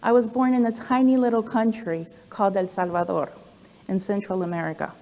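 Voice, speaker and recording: A woman's voice: mid-range voice, steady tone, brisk, darkish tone. Woman in her forties speaking in a reverberant hall. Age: 40s. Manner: conversational, with frequent "um" fillers and asides. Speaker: program director giving a talk. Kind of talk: personal presentation to an audience.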